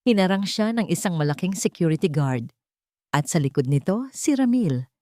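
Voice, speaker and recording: Casting: female storyteller, slightly low voice, dramatic scripted romance narration, very dry close recording. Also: expressive, moderate pace, warm tone, dry recording.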